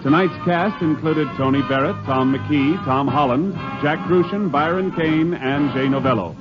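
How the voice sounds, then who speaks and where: A man's voice: on the high side, normal speed. An American man working as a radio program announcer, reading in the style of a 1950s drama's closing announcement. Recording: dull vintage 1950s radio recording. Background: loud theme music.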